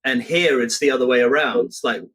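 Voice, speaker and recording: A man's voice: medium pitch, a little fast, a bit bright. Man from Belgium chatting about his craft. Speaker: recording engineer, interview participant. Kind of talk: casual conversation.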